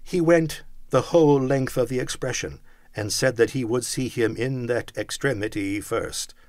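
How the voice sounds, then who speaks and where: A man reading a classic story aloud with expressive storytelling. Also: medium pitch, normal speed, dry recording, studio quality. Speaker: audiobook narrator.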